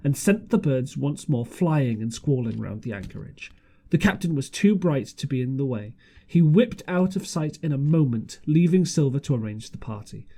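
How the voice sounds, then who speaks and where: A man's voice: on the high side, mellow. A man reading a storybook aloud as an amateur audiobook narrator, occasionally stumbling and rereading phrases. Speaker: audiobook reader.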